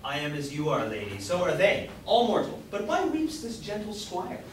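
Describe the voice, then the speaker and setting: A man plays a mock-heroic knight errant in a stage comedy. The speech is lofty and declamatory, pitched a little high. It comes from far off in a very echoey room. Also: huge pitch swings, moderate pace, a little hiss or hum. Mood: lofty, gallant. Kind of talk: amateur staged reading of Jacobean comedy.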